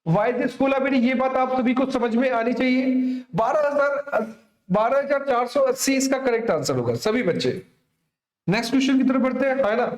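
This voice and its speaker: A man's voice: very high-pitched, normal speed, roomy sound. A man teaching an exam coaching maths lesson, often checking in with students through short tag questions. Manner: repetitive tag questions, addressing students directly. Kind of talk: exam coaching lesson, explaining worked problems.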